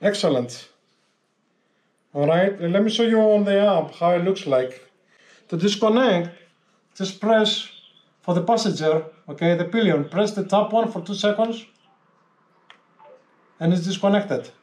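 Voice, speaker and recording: A man giving a casual hands-on tutorial as a vlogger, explaining step by step in a halting, repetitive way. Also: sits high, darkish tone.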